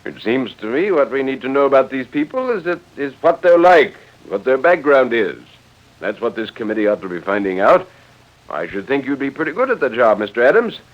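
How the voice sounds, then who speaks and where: An actor playing an assured, influential gentleman, polite and pragmatic, in 1940s radio drama style. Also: mid-range voice, very animated, fairly quick, close to an everyday voice. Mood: assured, businesslike. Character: assured, influential, businesslike gentleman taking charge. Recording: dull old radio recording.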